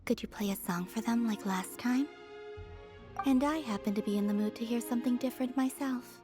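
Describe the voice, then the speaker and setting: A woman voicing a serene, polite young moon maiden in a video game, with a soft, airy voice and a gentle, measured, formal way of speaking. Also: on the high side, natural voice. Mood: musing. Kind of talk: scripted video game voice acting. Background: faint music.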